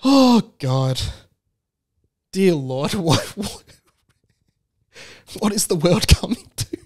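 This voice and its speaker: A man with a slightly high voice delivers a casual comic monologue. He rambles and doubles back on his words, leaning into emphatic, incredulous delivery as a podcast host. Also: sing-song, slow, a little mellow. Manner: rambling, stammering restarts. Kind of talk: casual comedic solo monologue.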